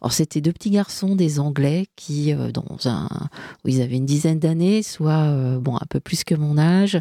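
A woman's voice: deep voice, moderate pace, mellow, no echo, studio quality. A woman hosting a radio chat show about reading, chatty and hesitant with many fillers. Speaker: radio host. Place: radio studio.

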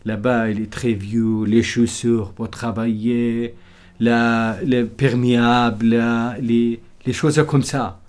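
A man in a documentary interview, speaking simple, broken French. He leans on short repeated phrases as he goes, at a middle pitch. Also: flat delivery, slow, a little mellow.

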